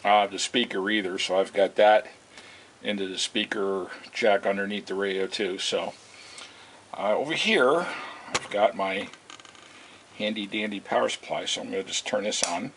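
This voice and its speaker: A man with a fairly low voice talks through a hands-on demonstration in an informal, unscripted way. His delivery is halting and rambling, like a hobbyist showing something at home. The amateur recording carries a light hiss. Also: a bit bright. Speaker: video host demonstrating vintage radio repair. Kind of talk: casual unscripted product demonstration. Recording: hissy, noisy amateur home video.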